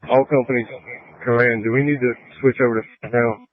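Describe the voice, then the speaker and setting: A man's voice: medium pitch, fairly even intonation, fairly quick, dry recording. A man giving terse emergency traffic as a responder over a two-way radio. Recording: narrowband fire dispatch radio audio.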